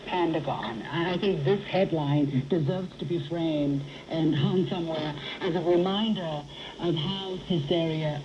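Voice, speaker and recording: A woman's voice: on the low side, dark tone, lo-fi sound. A woman hosting a current affairs discussion, heard over a shortwave radio broadcast. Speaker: talk show host. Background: shortwave radio noise.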